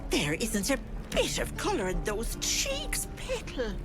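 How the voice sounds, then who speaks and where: An old auntie who fusses sweetly, her voice lively and swooping high and low. She talks slowly and sing-song, a sly hag hiding behind kindness. Game music plays under her. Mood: doting. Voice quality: fussing, sing-song, grandmotherly. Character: sweet-talking old woman, a hag in disguise.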